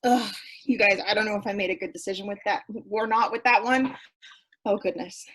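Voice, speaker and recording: A woman's voice: mid-range voice, fairly even intonation, fairly quick, fairly bright tone, lightly acted. A woman playing an anxious, naive young character who rambles nervously in a staged play reading. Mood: pained, anxious.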